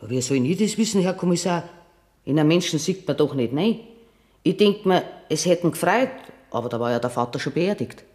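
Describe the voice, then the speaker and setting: An actress with a Bavarian accent playing a talkative, protective hospital nurse in a German radio drama. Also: female voice, low voice, expressive, a little fast, a little mellow. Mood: protective, sombre. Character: chatty, protective female hospital nursing sister.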